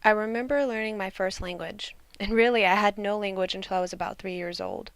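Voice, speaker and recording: A woman student interpreter voicing a signer's story in calm, measured first-person narration. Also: mid-range voice, smooth, no room sound.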